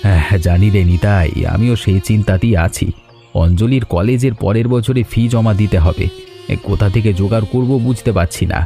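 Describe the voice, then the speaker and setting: A protective, hard-working elder brother in an animated story, played by a man. He speaks earnestly and familiarly in a low, dark tone, with soft music underneath. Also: moderate pace, lightly acted. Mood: worried. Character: self-sacrificing, protective big brother, hard-working garage owner.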